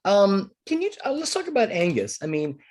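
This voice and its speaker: An interviewer asking questions with frequent affirmations and an expressive delivery. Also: medium pitch, normal speed.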